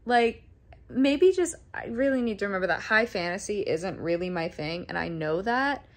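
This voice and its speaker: A woman's voice: medium pitch, animated, normal speed. A woman chatting informally in a video diary, rambling and correcting herself as she goes. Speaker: daily vlogger. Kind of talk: casual video diary chat.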